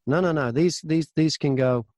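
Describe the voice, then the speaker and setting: A man talking casually as an interview guest, telling anecdotes in an informal, animated way from a tiny home studio. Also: fairly high pitch, moderate pace, dry recording. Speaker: voice-over artist and audiobook narrator, interview guest. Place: very small closet converted to a studio.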